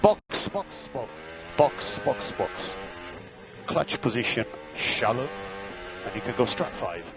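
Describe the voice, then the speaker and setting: A man's voice: mid-range voice, animated, slow, pauses often, over music, poor audio. A man working as a race engineer, giving clipped, matter-of-fact instructions and gap updates over team radio, often repeating key points.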